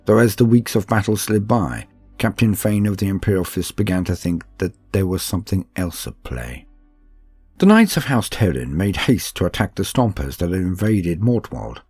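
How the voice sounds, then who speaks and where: A man with a low, husky voice delivers a measured, audiobook-style narration. He keeps a calm and steady delivery throughout. The sound is dry and close, with faint music underneath. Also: no room sound.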